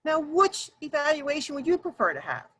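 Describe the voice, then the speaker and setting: Loud, emphatic woman leading a workshop. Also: high-pitched, sing-song, moderate pace. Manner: loud, emphatic, talks to audience directly. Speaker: workshop presenter. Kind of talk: online workshop presentation. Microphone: video call.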